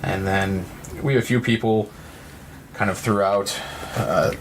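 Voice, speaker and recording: A man from Missouri with an American accent, speaking as an interview guest in an earnest, halting, reflective way. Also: fairly low pitch, fairly even intonation, a little hiss or hum, rough recording. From Missouri, United States. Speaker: nonprofit representative and guest. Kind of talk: conversational interview.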